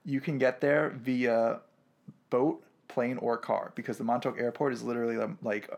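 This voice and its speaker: A young man co-hosting a podcast in casual chat, eager and quick to jump in. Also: mid-range voice, steady tone, vocal fry, a little mellow, lo-fi sound. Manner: eager, jumps in and talks over. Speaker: podcast co-host. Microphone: podcast microphone.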